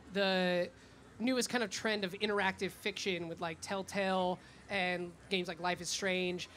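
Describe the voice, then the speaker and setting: A woman's voice: medium pitch, fairly bright tone. A woman co-moderating a panel, casual and conversational, with loose phrasing full of "like" and "kinda". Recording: live event floor with background noise.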